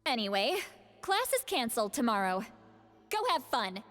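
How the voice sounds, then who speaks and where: A woman's voice: on the high side, big pitch swings, moderate pace, a bit bright, long reverb tail. An actress playing a proud, powerful elderly shaman granny in a video game, haughty and world-weary. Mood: weary, advising. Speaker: game character. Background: music.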